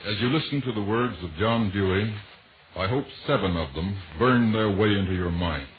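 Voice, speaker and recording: A man's voice: on the low side, normal speed. A man making an earnest, emphatic scripted appeal in a 1940s radio style. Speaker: radio announcer making a war bond appeal. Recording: tinny, noisy vintage 1940s radio.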